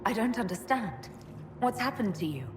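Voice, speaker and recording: A woman's voice: on the high side, very animated, moderate pace. A woman playing a resolute young elf mage heroine in a fantasy game, firm and composed. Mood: concerned. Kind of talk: dramatic fantasy game voice acting. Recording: echoing hall, noisy ambience.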